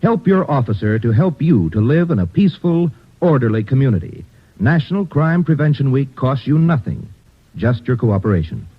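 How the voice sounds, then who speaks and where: A male commercial announcer with a persuasive, lively salesman delivery on a 1950s radio broadcast. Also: medium pitch, normal speed, husky, dull audio.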